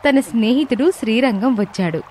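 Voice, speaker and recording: A woman's voice: on the high side, normal speed, dark tone, slight background noise. A woman narrator reading a scripted moral tale in storytelling style.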